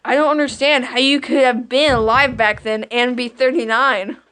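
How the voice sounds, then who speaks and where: A woman's voice: high voice, fairly even intonation, moderate pace, fairly bright tone. Woman as teasing radio announcer, noisy recording. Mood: presentational. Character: male radio announcer, teasing sidekick to the host. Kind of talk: old-time radio comedy acting. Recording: faint noise, crowd behind.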